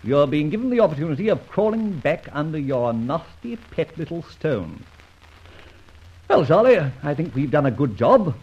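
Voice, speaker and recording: An actor playing a suave, vengeful gentleman adventurer and ex-officer, speaking with theatrical, mocking irony in an old radio drama. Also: on the high side, very animated, normal speed, a little hiss or hum. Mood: triumphant. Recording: muffled old radio recording.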